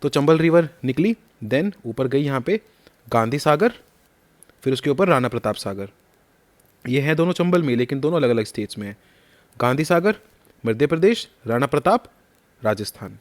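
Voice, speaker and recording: A man teaches a map lesson for exam prep at a middle pitch. He quizzes the listener as he goes and repeats the key names. His throat is a little sore. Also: animated, normal speed, pauses often.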